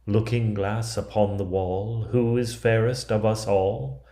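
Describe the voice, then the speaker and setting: Man voicing a cruel fairy-tale queen, proud, envious, commanding, dark mellow tone, roomy echo. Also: on the low side, steady tone, measured pace. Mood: vain, questioning. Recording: roomy, echoing sound.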